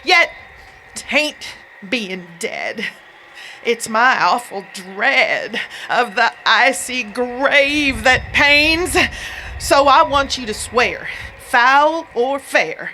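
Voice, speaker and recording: A cold-hating gold prospector, acted out by a woman in a dramatic poem. The words come slowly and with heavy emphasis, in a high, bright voice, over some background noise from a live performance. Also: animated, full character voice, poor audio. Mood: moaning dread. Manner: moaning, pleading, drawn-out emphatic delivery. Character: dying, cold-hating gold prospector, pleading with his pal.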